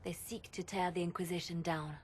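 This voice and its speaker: An actress playing a poised, diplomatic adviser in a video game, measured, solemn and earnest. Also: female voice, on the low side, fairly even intonation, a little hiss or hum, natural voice. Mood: somber, wary. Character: grave, poised woman adviser, wistful and diplomatic. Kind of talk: video game cutscene acting.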